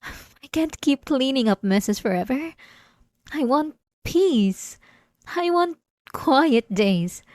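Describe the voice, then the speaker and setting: Casting: woman to play delusional, possessive wife for ASMR drama. Higher voice, unhurried halting delivery, sweetly menacing. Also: expressive, darkish tone, no room sound, studio quality. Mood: weary, sweet. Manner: halting, repeating words, coaxing and manipulative. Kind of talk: intimate ASMR roleplay monologue.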